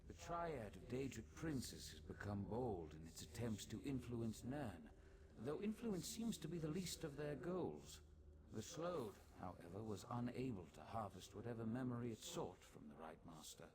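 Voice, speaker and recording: An actor playing a pedantic, verbose scholarly mage with precise speech in a fantasy video game. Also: male voice, medium pitch, very animated, normal speed, crowd noise, lightly acted. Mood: pedantic, pondering. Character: pedantic, cool-headed scholarly male mage.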